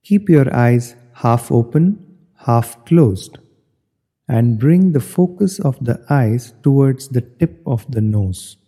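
Very dark-voiced man guiding meditation, long reverb. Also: mid-range voice, animated. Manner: measured, instructional phrasing in short pauses. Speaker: meditation instructor. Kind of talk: guided meditation narration.

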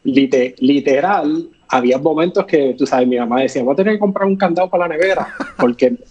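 A man's voice: fairly high pitch, brisk, darkish tone, dull audio, a little hiss or hum. A man who grew up speaking English, chatting as an interview guest and rambling with lots of fillers and restarts. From born in Chicago, raised partly in Puerto Rico. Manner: rambling, many fillers and restarts, laughs often. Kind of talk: casual live interview chat.

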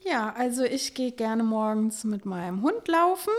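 A woman's voice: fairly high pitch, big pitch swings, normal speed. Chatty woman. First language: French. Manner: chatty, laughs often, lots of fillers. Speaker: wedding planner. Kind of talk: casual podcast interview.

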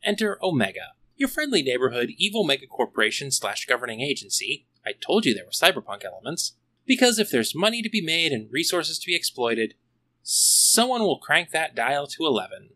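Animated, bright-voiced man hosting a podcast. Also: a little high, brisk, low-quality recording. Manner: wry, tongue-in-cheek asides. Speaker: podcast host reviewing comics. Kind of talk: scripted solo review narration.

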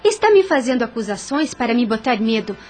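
A high-voiced, proud young widow. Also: female voice, very animated, a little fast, a little mellow. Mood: indignant. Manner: formal, earnest, emphatic. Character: proud, principled young widowed landowner, firm and dignified. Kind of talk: radio drama acting.

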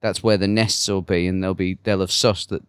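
A man's voice: low voice, little pitch movement, clean tone, no echo, studio quality. A man hosting a podcast, joking and firing off questions in casual chat. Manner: joking, asks quick questions. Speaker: podcast host.

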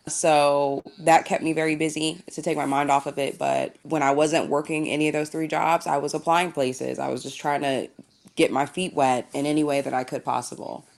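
A young woman from Indiana with a low voice and a slight rasp answers interview questions. She tells her story with an easy, storytelling flow, often breaking into laughs. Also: level tone, normal speed, darkish tone. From Indiana, USA. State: slightly raspy voice. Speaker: TV news anchor, guest.

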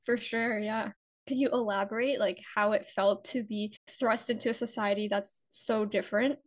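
A woman's voice: medium pitch, fairly even intonation, moderate pace. A young woman, speaking casually as a podcast co-host.

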